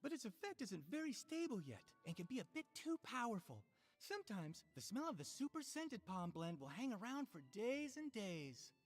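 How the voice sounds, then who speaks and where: An earnest proud video game npc, lively up and down delivery, explains everything emphatically. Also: female voice, fairly high pitch, moderate pace.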